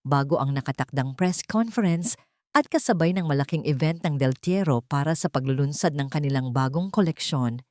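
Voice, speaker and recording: Low-pitched woman narrating a drama, dry sound. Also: darkish tone, dry recording. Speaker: story narrator. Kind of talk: scripted dramatic first-person narration of a Tagalog romance drama.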